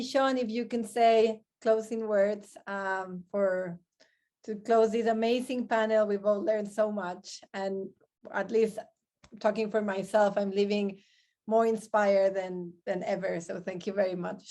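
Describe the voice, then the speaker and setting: Woman, panel host, medium pitch, gracious, thankful, online moderation. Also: steady tone, unhurried, darkish tone.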